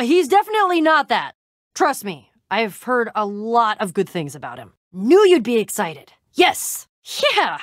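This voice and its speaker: Youngish woman game hero, tough but exasperated, lively swingy pitch, dry close sound. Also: no room sound. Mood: frustrated, earnest. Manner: wry, casual, hesitant trailing-off delivery. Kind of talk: video game voice acting.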